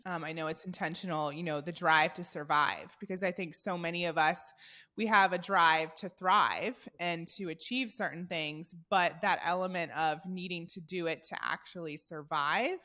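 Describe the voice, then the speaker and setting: A woman's voice: on the low side, fairly even intonation, normal speed, sharp tone. A woman hosting a podcast interview, asking curious, encouraging questions. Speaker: podcast host.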